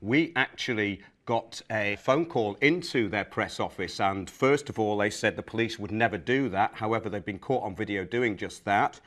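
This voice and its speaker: Low-voiced male presenter. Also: normal speed, hardly any pauses, a bit bright, slight background noise. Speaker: show presenter. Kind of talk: presenting and interviewing.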